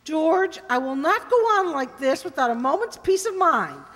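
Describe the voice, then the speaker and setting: Woman acting high-voiced, anxious, insistent wife. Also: very animated, normal speed, a bit bright, long reverb tail. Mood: worried, demanding. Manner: firm, nagging, earnest. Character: anxious, insistent wife and mother named Clara. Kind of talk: radio drama acting.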